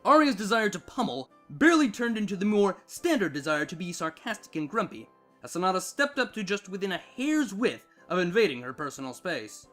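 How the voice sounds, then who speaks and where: High-voiced man reading a story. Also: very animated, brisk, fairly bright tone, faint music behind. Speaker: fan fiction narrator. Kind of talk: expressive scripted story narration.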